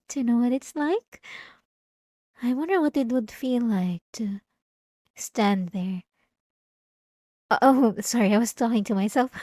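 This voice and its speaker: Woman acting a soft, obsessive church girl, dry close sound. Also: mid-range voice, no echo. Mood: coaxing, wistful, then flustered, eerily patient. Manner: soft-spoken, halting, sugary politeness with an unsettling edge. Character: obsessive young church girl, sweetly devout, possessive stalker. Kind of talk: scripted ASMR roleplay monologue addressed to the listener.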